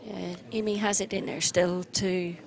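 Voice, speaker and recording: A woman's voice: lowish voice, flat delivery, measured pace, vocal fry. A woman co-commentating live on a pool match in a chatty way, with frequent fillers.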